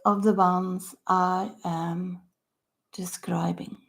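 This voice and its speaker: Older Swedish woman with gravelly voice. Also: on the low side, level tone. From Sweden. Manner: halting, slow-spoken, drops to soft near-whispers at phrase ends. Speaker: author. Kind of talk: self-recorded book talk.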